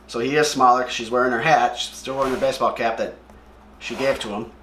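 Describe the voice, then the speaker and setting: A man hosts a comic read-along in an echoey room, describing things in a casual, offhand way. His pitch is middling, and he comments freely with his own opinions. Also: fairly even intonation, quick pace, fairly bright tone, slight background noise.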